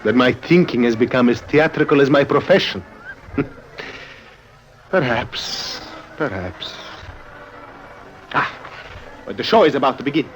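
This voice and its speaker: An actor playing a lovesick, melancholy Italian circus clown in a plaintive, theatrical old radio drama manner. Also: male voice, mid-range voice, lively intonation, measured pace, pauses often, soft background music, natural voice, low-quality recording. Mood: wistful.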